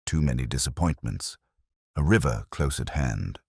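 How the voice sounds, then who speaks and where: A man narrating a scripted first-person detective story in a measured, brooding, literary manner. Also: very deep voice, animated, a little mellow, husky, dry recording, studio quality.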